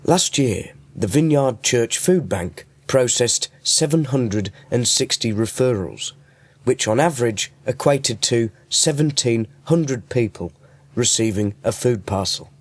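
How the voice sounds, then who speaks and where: A man's voice: medium pitch, animated, measured pace, no room sound. A man working as a radio reporter, reading scripted narration and putting interview questions.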